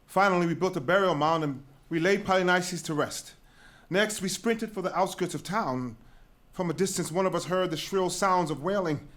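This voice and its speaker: A grave messenger from Greek tragedy, with a high-pitched voice. He is shaken by what he has seen and lays out terrible news in measured, vivid phrases for a staged reading. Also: a bit bright. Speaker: actor in a dramatic reading.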